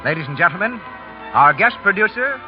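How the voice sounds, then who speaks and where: Male announcer, old-time radio sponsor style, formal, lo-fi recording, soft music underneath. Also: sits high, very animated, a little fast. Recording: muffled 1930s radio broadcast.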